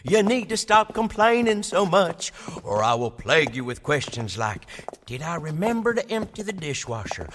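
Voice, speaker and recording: An actor playing a goofy, teasing young man who cracks crude jokes, speaking in a loud, playful way in an audio drama. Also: on the high side, very animated, moderate pace, noisy background. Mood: joking.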